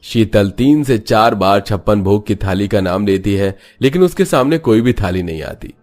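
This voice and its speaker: A man's voice: fairly low pitch, animated, moderate pace, darkish tone. A man giving scripted narration for a cartoon moral story. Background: background music.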